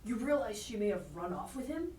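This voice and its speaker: An actress voices a suspicious female informant in an audio drama. She is animated and a bit high, heard from off the mic in an echoey space. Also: a little fast, natural voice. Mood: suspicious, urgent. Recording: echoey, distant mic with hiss.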